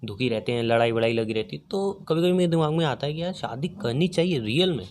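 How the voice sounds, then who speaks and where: A man's voice: medium pitch, animated, fast, a little mellow, a little hiss or hum. A young man chatting casually on a recorded phone call, teasing and questioning his partner. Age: young adult. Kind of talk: casual couple's chat.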